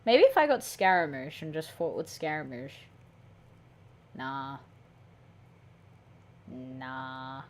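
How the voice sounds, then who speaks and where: A young woman giving excitable, mock-dramatic, gushing gameplay commentary. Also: a bass voice, takes it slowly, pauses often. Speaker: game streamer. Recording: noisy mic with game sound. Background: game audio.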